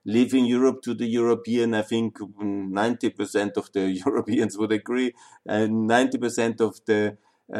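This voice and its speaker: A man from Austria with an Austrian German accent, lecturing an audience in a halting way with many fillers and restarts. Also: on the low side, darkish tone. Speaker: speaker on Balkan history.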